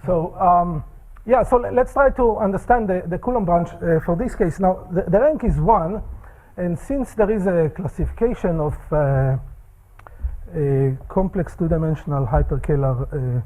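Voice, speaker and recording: A man giving a technical seminar lecture in a seminar room, with a soft voice, halting speech and many fillers. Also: high voice, rough recording.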